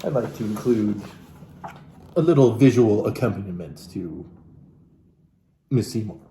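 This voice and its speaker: A man from Alaska giving a comedic, theatrical book reading as host, florid and mock-genteel, with dramatic pauses and asides to his viewers. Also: lowish voice, expressive, dark tone, slight background noise. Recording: roomy, echoey room sound.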